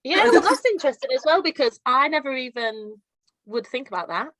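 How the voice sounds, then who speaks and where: A woman's voice: high voice, big pitch swings, fairly quick, a bit bright, no room sound. A woman co-hosting a podcast, chiming in with short eager remarks and questions. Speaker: podcast co-host. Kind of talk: casual interview chat.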